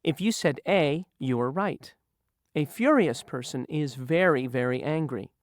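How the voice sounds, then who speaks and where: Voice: mid-range voice, a little slow, no echo, clean studio sound. A narrator asking learners review questions about word meanings in a patient, teacherly way. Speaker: quiz reviewer in a vocabulary lesson. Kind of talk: slow scripted quiz questions for learners.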